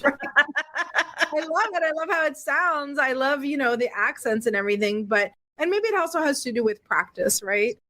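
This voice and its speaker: A woman's voice: fairly high pitch, fairly bright tone. A woman co-hosting a podcast, chatting casually in a playful way and laughing often. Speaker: podcast co-host.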